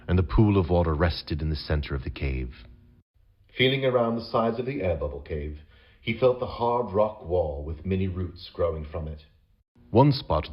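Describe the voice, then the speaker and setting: A man reading scripted storybook narration for an audio adventure game in a measured, dramatic storytelling manner. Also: deep voice, muffled recording.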